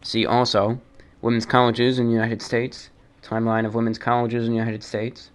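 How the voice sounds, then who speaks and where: A man hosting a fan mail show, talking off the cuff and reading aloud in a deliberate, measured, slightly formal way. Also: medium pitch, level tone. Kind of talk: unscripted talk, reading and answering listener mail.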